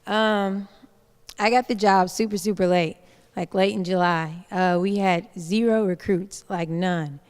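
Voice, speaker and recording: A woman in her twenties with a soft voice, a little nervous, giving informal remarks as a coach at a media day. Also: mid-range voice, fairly even intonation, a little slow, clear, resonant tone. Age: 20s. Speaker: college basketball coach. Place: large indoor hall, at a microphone. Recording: echoey hall sound with long reverb.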